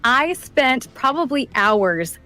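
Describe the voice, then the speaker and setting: A woman's voice: high voice, very animated, slow, pushed and bright, faint music behind. A woman who works as a voice actor, talking casually as an interview guest.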